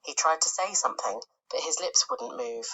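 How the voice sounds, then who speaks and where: Bright, lively English woman reading a story. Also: sits low, moderate pace, breathy edge, poor audio. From England. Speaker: storybook reader. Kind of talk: expressive scripted children's story narration.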